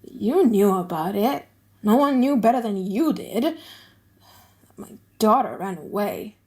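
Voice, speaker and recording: A woman's voice: mid-range voice, lively intonation, measured pace, darkish tone, lightly acted. A woman voicing a bitter, vengeful older male moneylender in a dramatic audiobook play, indignant and emphatic.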